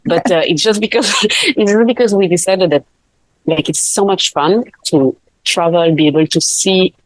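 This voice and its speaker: Low-voiced woman, laughing easily. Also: normal speed, dry recording, poor audio. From Switzerland. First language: not English. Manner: laughs often, many fillers and restarts. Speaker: guest, life coach. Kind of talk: casual interview chat.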